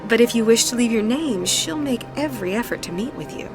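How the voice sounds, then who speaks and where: An actress voicing a courteous, welcoming receptionist. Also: female voice, fairly high pitch, animated, a little fast, natural voice. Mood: polite. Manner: polite, welcoming. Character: polite female receptionist, courteous hostess. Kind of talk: video game voice acting. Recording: game audio over music.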